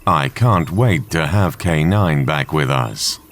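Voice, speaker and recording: Man doing a calm polite supercomputer, low voice, kinda slow but lots of intonation. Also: background noise, full character voice. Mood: welcoming. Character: calm, courteous male supercomputer. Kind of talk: fan audio drama acting.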